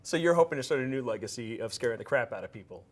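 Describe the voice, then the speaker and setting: A man's voice: medium pitch, expressive, racing. A man interviewing a guest, chatty and self-deprecating, stumbling over the openings of his questions.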